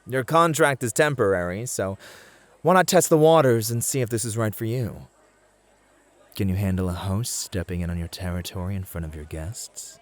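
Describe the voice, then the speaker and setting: A man's voice: lowish voice, normal speed, slight background noise, natural voice. A man playing a suave, polite club host in an ASMR roleplay, flirtatious and courtly as he teases and mentors. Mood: smooth, encouraging.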